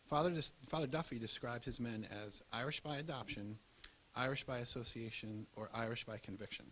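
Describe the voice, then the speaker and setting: A man's voice: medium pitch, rough recording. A man reading a scripted historical narration. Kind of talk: scripted documentary narration read aloud. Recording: audible hiss and background noise.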